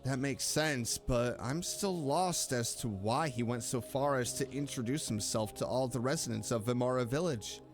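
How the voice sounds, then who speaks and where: A man's voice: mid-range voice, moderate pace, few pauses, soft background music. A man streaming a game, giving casual, reflective commentary and empathetic reactions aloud.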